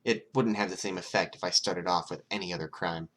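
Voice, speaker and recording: A man's voice: deep voice, fairly even intonation, a little fast, a bit bright. Man as an earnest, awkward teenage boy. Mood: resolved.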